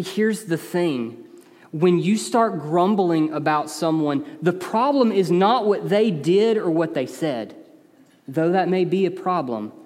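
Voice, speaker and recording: A man with an East Tennessee accent preaches in a church sanctuary. His voice sits high for a man, and he talks earnestly, as if in conversation, climbing in emphasis on key points. A long hall reverb trails every phrase. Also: a little mellow. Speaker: pastor. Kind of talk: sermon.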